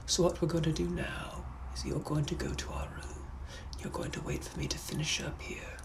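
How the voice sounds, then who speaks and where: A man playing a menacing, possessive gentleman from the 1800s, speaking in a hushed, breathy, put-on voice. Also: a little high, little pitch movement. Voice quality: hushed breathy whisper, drawn-out purring delivery. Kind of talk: POV comedy skit.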